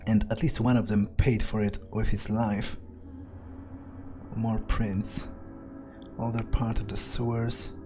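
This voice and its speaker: A man narrating a video game playthrough in character, thinking aloud in a musing, halting way. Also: on the low side, level tone, mellow, faint music behind.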